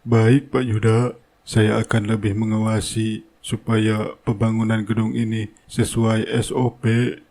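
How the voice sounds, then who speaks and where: Cartoon drama foreman, male actor: bullying, status-minded, slightly rough-edged voice. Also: on the low side, level tone, measured pace, a little mellow. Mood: deferential. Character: gruff, bullying construction foreman, fawning to his boss.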